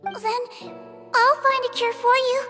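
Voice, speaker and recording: A woman's voice: very high voice, fairly even intonation, unhurried. A woman voicing a small, earnest, childlike girl apprentice healer in a game, with a hesitant manner. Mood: earnest, determined. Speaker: game character voice actor. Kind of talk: cute game voice acting. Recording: long reverb, prominent music behind. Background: music.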